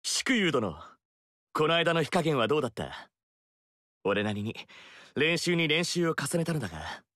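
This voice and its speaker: An earnest young warrior. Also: male voice, on the high side, very animated, moderate pace, fairly bright tone, dry recording. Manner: earnest, formal, eager. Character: earnest young male warrior, eager to learn. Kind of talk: studio game dialogue. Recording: dry studio recording.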